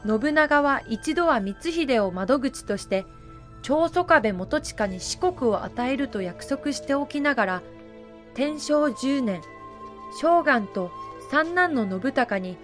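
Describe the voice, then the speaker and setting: A woman with a high voice narrates a historical audio drama. Her delivery is scripted storytelling with dramatic phrasing, and music plays softly behind her. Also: animated, takes it slowly.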